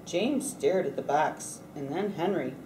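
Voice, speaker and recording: Low-voiced woman reading a storybook aloud. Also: expressive, unhurried, recorded from a distance. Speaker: storybook reader at a read-aloud event. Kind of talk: scripted picture-book read-aloud. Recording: roomy echo, faint background noise.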